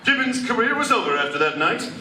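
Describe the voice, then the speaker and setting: A man's voice: high-pitched, sing-song, fast talker, pushed and bright, full character voice. A man playing a brash, bumbling reporter with old-fashioned slang in a comic 1940s-style radio play, recorded from afar in a roomy hall. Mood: excited explaining. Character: cocky, bumbling, slangy reporter who is secretly a masked hero. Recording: roomy convention hall, distant camera.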